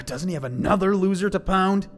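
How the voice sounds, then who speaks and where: A young man playing a brash, foul-mouthed high school boy with a grudge in an audio drama, scornful and emotive. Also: normal speed, a little hiss or hum, close to an everyday voice. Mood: panicked, angry.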